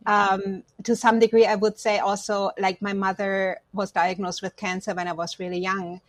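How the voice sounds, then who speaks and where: A woman's voice: mid-range voice, little pitch movement, normal speed, dry recording. A woman from Germany, not an English speaker, speaking reflectively about personal matters as an interview guest. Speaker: podcast guest, counselor.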